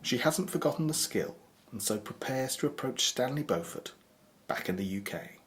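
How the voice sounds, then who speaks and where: A man's voice: medium pitch, lively intonation, normal speed. Male narrator for audio drama, middle register, scripted scene-setting delivery.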